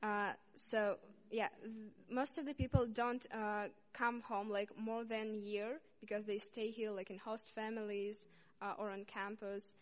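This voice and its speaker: A young woman from Saint Petersburg, Russia, a student, speaking haltingly to a church congregation with many pauses and restarts. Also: medium pitch, level tone. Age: young adult. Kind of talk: informal speech to a congregation. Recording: reverberant hall.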